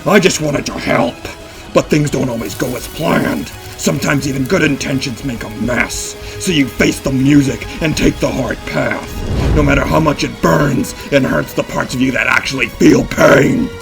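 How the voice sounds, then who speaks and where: An actor playing a furious, wounded man in a deadly showdown, speaking forcefully and emotionally in an audio drama. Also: medium pitch, moderate pace, creaky, lightly acted. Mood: bitter wrath. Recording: music behind the voice. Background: dramatic music.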